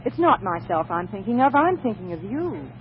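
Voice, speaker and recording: Muffled old radio. Actress as wry American young woman, high lively voice, practical and determined, romantic comedy heroine. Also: moderate pace, lightly acted. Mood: tender, practical. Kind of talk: 1940s radio comedy acting. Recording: muffled, noisy 1940s radio recording.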